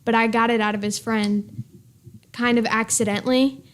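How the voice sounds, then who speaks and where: A young woman talks in a rambling, casual way, leaning on "like" and "um." Her voice sits fairly high and flat, staying level in pitch rather than rising and falling. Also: normal speed, smooth. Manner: rambling, filler-heavy, uses "like" a lot. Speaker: guest. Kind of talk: casual chat.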